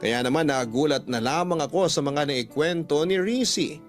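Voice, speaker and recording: A man with a fairly high voice narrates a personal story in radio style, reading it as a first-person letter. Soft guitar music plays behind him. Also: animated, normal speed.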